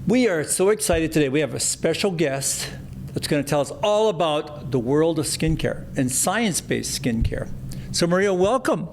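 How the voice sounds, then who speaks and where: A man's voice: fairly high pitch, animated, normal speed, slight background noise. A middle-aged man, a plastic surgeon hosting his podcast, chatty and enthusiastic with frequent restarts. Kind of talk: podcast interview.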